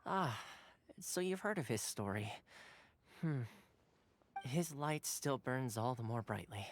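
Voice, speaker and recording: A man's voice: mid-range voice, expressive, takes it slowly. A man playing a friendly, earnest young retainer in an anime video game, explaining things in a composed way. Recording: game music behind the voice.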